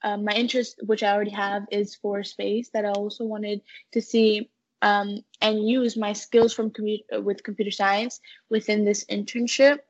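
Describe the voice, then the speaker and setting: A young woman speaks as an interview guest. Her delivery is earnest and conversational, with little pitch variation. The recording is somewhat dull and low in fidelity. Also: flat delivery, normal speed. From United States-born, living in Canada. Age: teens to young adult.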